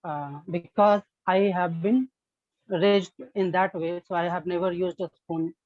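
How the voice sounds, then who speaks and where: A woman who is learning English as a second language builds practice sentences haltingly. Her voice is slightly low and her delivery slow. It comes through muffled and narrow, like a phone line. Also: fairly even intonation, a little mellow, no echo. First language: not English. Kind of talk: speaking practice exercise.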